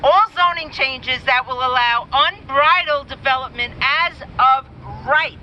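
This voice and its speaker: Bright-voiced woman reading a protest speech. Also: high voice, measured pace, highs cut off, slight background noise. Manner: firm, declarative, builds lists to a rallying call. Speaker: community coalition speaker at a protest rally. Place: outdoor street rally.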